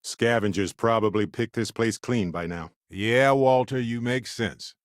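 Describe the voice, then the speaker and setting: A man voicing an upbeat, wisecracking, warm-hearted space explorer companion in a video game, chatty and wry with theatrical emphasis. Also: medium pitch, a little slow, dry recording, clean studio sound, natural voice. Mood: wry, conversational.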